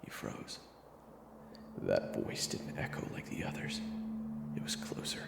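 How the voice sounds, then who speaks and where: A man narrating a scripted second-person story in a hushed, suspenseful manner. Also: a little slow, echoey room, in a whisper, big-room sound.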